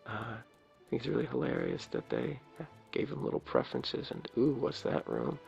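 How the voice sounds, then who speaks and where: A man with a low raspy voice giving rambling, playful ASMR commentary as he plays a video game. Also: huge pitch swings, normal speed, a little mellow, muffled recording, faint music behind. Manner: rambling, playful, jokingly self-important. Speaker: game streamer. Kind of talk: ASMR let's play commentary.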